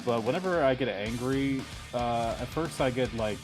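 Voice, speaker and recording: A man's voice: medium pitch, normal speed. A man in casual chat as a guest on a stream. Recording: music playing behind the voice. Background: plucked guitar music.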